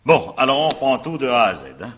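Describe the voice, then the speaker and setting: An actor playing a brisk, impatient male magistrate in a French radio drama, with an authoritative, clipped and dryly ironic way of questioning. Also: medium pitch, expressive, a bit bright, natural voice. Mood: formal, brisk.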